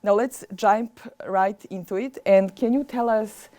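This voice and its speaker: A woman hosts a broadcast interview with polished, formal delivery. Her voice is mellow and relaxed, at a middle pitch. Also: measured pace. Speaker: TV interview host. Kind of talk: scripted broadcast introduction and interview.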